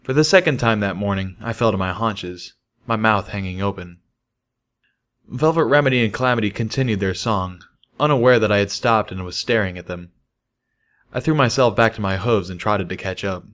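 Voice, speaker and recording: A man with a fairly low voice narrates a first-person story. He reads from a script as an audiobook narrator. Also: normal speed, fairly bright tone. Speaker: audiobook reader of fan fiction.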